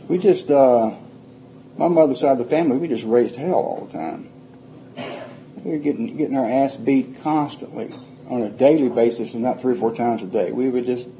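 Dark-voiced older man. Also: mid-range voice, slight background noise, rough recording. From Atlanta, Georgia, USA. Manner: plain-spoken, rambling, frequent restarts. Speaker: recovery speaker. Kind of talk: personal life story told to a group.

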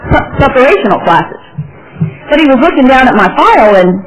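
A woman's voice: high voice, big pitch swings, a little fast, rough recording. A woman from California with a Southern twang, telling her life story to an audience in a rambling, folksy way with many repeats and restarts. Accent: Southern American twang. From California, USA. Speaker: speaker sharing her recovery story with an audience. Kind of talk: personal testimony talk.

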